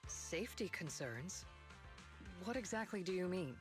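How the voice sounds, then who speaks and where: A woman's voice: on the low side, lively intonation, unhurried, music in the background. An actress playing a composed, inquisitive adult woman with an air of authority in a video game. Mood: puzzled, inquiring.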